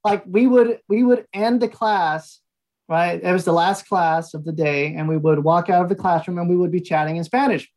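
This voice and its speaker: A man's voice: high voice, normal speed, poor audio. Easygoing man from Mexico telling stories. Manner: relaxed storytelling with frequent fillers and light laughs. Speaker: guest, language institute founder. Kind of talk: conversational interview answers.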